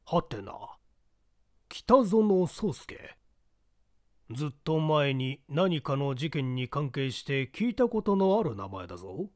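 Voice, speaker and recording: A man voicing a puzzled police detective chief muttering gruffly to himself in a mystery audiobook. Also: a little high, big pitch swings, slow pace. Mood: puzzled, brooding.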